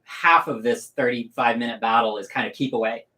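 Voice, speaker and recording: A man's voice: medium pitch, brisk, bright, forceful tone, low-quality recording. A man co-hosting a film review in casual, conversational commentary. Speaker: co-host reviewer.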